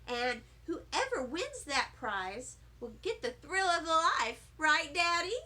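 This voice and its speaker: A woman voicing a showy, excited little first-grade girl making emphatic announcements in a children's story. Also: high voice, slow pace, full character voice. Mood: showy, thrilled. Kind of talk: children's audiobook character voice.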